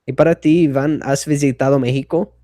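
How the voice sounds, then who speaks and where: A man hosting a podcast in casual chat, a Spanish learner with as his who often pauses to search for words. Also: mid-range voice, fairly even intonation, mellow, dry recording, studio quality.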